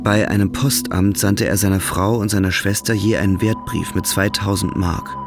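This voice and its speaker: Man, scripted drama narration, low pitch, gravelly fry, faint music. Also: steady tone, normal speed.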